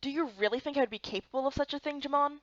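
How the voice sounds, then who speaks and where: A woman playing an earnest, chatty young heroine in a fantasy game, speaking in an expressive, exclaiming way. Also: sits high, racing, highs cut off, close to an everyday voice. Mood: earnest, defensive.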